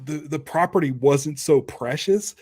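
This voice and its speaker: A man talking casually about TV and film, in informal, halting phrases. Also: on the high side, darkish tone, dry recording, clean studio sound. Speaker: podcast speaker.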